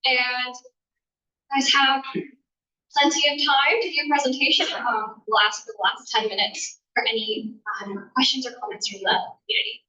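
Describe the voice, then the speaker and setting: A woman's voice: sits high, fairly even intonation, normal speed, sharp tone. A woman introducing guest speakers at a meeting, brief and a bit hesitant. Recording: distant room mic.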